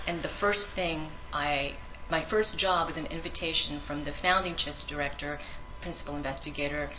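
A woman's voice: sits low, steady tone, moderate pace, highs cut off, noisy background. A woman researcher giving a conference presentation in an earnest manner, with frequent ums and restarts; her first language is Tagalog.

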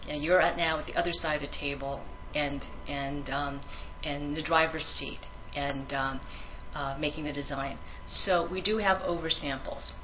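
A woman's voice: sits low, fairly even intonation, normal speed, hardly any pauses, dull audio, noisy background. A woman researcher giving a conference presentation in an earnest manner, with frequent ums and restarts; her first language is Tagalog.

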